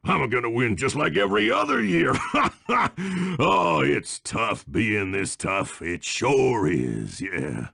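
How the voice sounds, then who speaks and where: An actor voicing a boastful, bullying old-time lumberjack villain in a cartoon game, raspy and taunting with a folksy rustic North American drawl. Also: male voice, mid-range voice, sing-song, takes it slowly, few pauses, sharp tone, no room sound, heavily acted. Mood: smug, boastful. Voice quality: gravelly, raspy.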